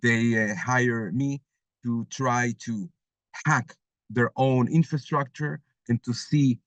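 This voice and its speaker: A man giving a webinar presentation as lead instructor over a video call, pausing often with filler sounds. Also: mid-range voice, steady tone, dry recording. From Argentina. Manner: hesitant, many filler sounds.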